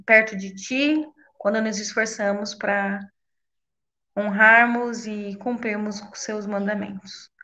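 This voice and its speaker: A woman leading a spoken prayer in a reverent, measured way. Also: medium pitch, a bit bright, muffled recording. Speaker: church member leading prayer. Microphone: video call.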